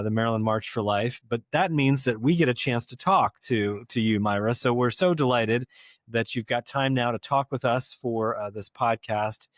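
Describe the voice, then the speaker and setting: A man's voice: medium pitch, no echo. Man hosting a podcast interview, dry sound. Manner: measured, with frequent "uh" fillers. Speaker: podcast host.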